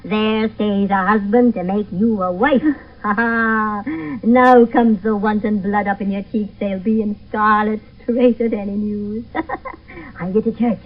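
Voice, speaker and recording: A woman's voice: mid-range voice, steady tone, measured pace, close to an everyday voice. A woman playing a teasing, complaining, bawdy old nurse in a Shakespeare radio play, dawdling over her words. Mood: gleeful, fond. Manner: dawdling, groaning, chuckling. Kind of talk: 1970s radio Shakespeare acting. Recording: muffled phone-like vintage radio broadcast, distant.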